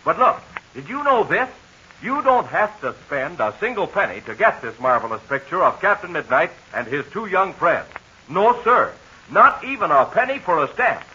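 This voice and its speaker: Man, 1940s radio announcer, high lively voice, bright and husky, eager pitch, muffled old transcription. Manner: eager, upbeat sales pitch to children.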